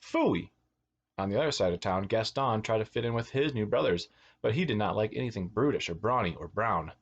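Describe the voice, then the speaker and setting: Man, preschool teacher, storybook read-aloud, expressive delivery, asides to kids, muffled lo-fi recording. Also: fairly low pitch, fast, breathy edge. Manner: expressive, acts out words and exclamations. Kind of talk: animated children's storybook reading with asides to young listeners.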